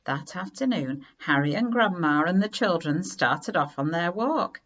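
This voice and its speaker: A woman reading a children's story aloud in an expressive, unhurried way with dramatic emphasis. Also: on the low side, muffled recording.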